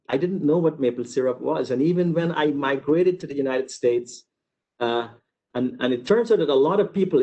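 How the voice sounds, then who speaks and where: Man, from Guyana, university researcher, informal slide lecture, mid-pitched voice, explanatory, repetitive phrasing, frequent asides. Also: dark tone. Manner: explanatory, repeats words and digresses. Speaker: university researcher giving a webinar.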